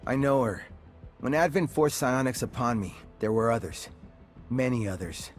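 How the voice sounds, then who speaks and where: Actor doing grave haunted psionic guy, middle pitch, halting, soft music behind, game drama. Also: animated, close to an everyday voice. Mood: somber, haunted. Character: somber, haunted male psionic former captive. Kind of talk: dramatic video game acting.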